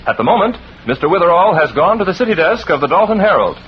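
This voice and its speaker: High, animated male radio announcer. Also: quick pace, slight background noise. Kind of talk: scripted 1940s radio narration. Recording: dull old radio recording. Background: music.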